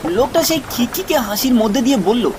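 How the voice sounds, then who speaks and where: A man's voice: very high voice, lively intonation, fairly quick, noisy background. A man reading a scripted ghost story as a dramatic narrator.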